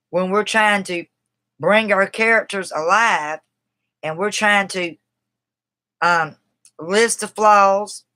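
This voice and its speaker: A woman's voice: medium pitch, a bit bright. A woman from the Southern US with a Southern accent, talking casually on a livestream about a writing book, rambling and greeting viewers by name. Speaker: writer discussing a book on a livestream. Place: outdoors.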